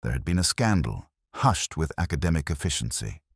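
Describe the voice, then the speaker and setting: Very deep-voiced male detective-story narrator. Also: big pitch swings, slightly rough, dry recording, clean studio sound. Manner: literary, measured phrasing. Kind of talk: scripted first-person detective story narration.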